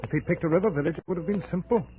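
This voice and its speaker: Man playing a grim jungle trader, fairly high male voice, brooding, menacing, old radio drama, tinny band-limited recording. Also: very fast, natural voice. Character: big, clumsy, grimly determined male jungle trader. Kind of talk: 1950s radio drama acting. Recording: narrow, noisy 1950s radio recording.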